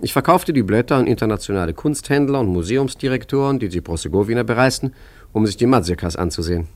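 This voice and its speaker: A man's voice: lowish voice, lively intonation, fast talker, darkish tone, close to an everyday voice. An actor playing a genteel, self-satisfied art forger in a 1950s German radio play, chatty, urbane and mock-modest as he confides in the listener. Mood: smug, savouring.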